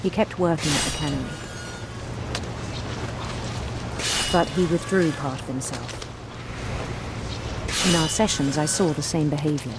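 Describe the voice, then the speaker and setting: A middle-aged woman playing a measured, concerned psychiatrist, narrating a letter deliberately in a game. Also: low-pitched, heavy background noise, close to an everyday voice. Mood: formal, concerned. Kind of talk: scripted game narration, letter read aloud. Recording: loud music over the voice.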